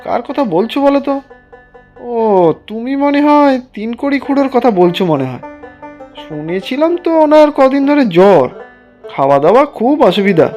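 A woman's voice: on the high side, sing-song, moderate pace, warm tone, dull audio, faint music behind. A woman voicing a friendly, helpful village neighbour man in a cartoon, in a chatty manner. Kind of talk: Bengali cartoon dubbing.

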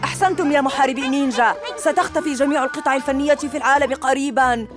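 A woman's voice: rapid-fire, close to an everyday voice. A woman playing a smug, boastful boy ninja villain. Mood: gloating. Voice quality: high, smug, mocking voice. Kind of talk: cartoon dub acting. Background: music score.

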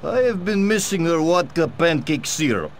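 A man's voice: a little high, big pitch swings, normal speed, a little hiss or hum. An actor playing a stiff male Soviet agent with a Russian accent and broken, formal English in a video game. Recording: game audio with faint music.

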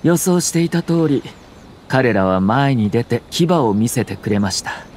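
A man voicing a calm, courteous and calculating young nobleman in an anime-style game, speaking formally with subtle mockery. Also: medium pitch, a little hiss or hum, lightly acted. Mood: calm, strategic. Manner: polite, composed, measured formal speech.

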